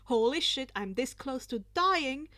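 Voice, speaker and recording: A woman's voice: high voice, animated, measured pace, pushed and bright, exaggerated voice. A woman voicing a brash, hot-tempered teenage boy in a story reading. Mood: stunned, panicked.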